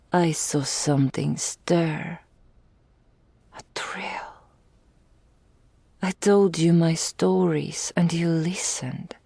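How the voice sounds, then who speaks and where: A woman voicing a possessive, adoring dragon in human form for an intimate ASMR roleplay, with regal, old-fashioned phrasing and a breathy, often whispered delivery. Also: low-pitched, mellow, no room sound, full character voice. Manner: slow, formal archaic phrasing, regal and doting. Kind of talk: intimate ASMR roleplay, scripted character acting. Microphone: close studio mic, dry.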